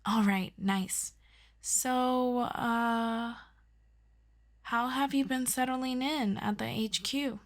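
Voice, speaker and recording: A woman's voice: fairly high pitch, fairly even intonation, very slow. A woman acting as an earnest, chatty superhero best friend in an ASMR roleplay, rambling and hesitant with affection. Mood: awkward, affectionate.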